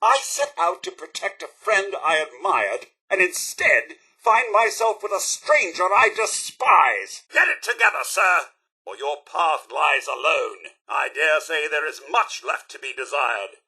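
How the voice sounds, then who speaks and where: A fussy, devoted robot butler from a video game, speaking with a British accent. The voice sits high and sweeps up and down theatrically, with a slightly rough edge. Every phrase is formal and primly articulated, in the manner of a deferential servant. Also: male voice, animated, measured pace, sharp tone, full character voice, rough recording. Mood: stern, disappointed. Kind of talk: scripted video game companion dialogue.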